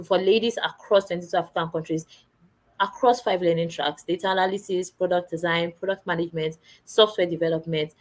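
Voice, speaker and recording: A woman explaining a training program, listing its courses one by one. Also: on the low side. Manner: steady, lists items one by one. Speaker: program presenter. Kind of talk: informational explanation.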